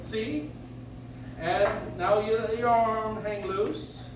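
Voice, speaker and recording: A man's voice: high voice, fairly even intonation, very slow. A man playing a kindly, playful old man with a jolly, old-fashioned, encouraging manner in a live radio play. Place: live stage in a coffee house. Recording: noisy, echoey audience recording from far off.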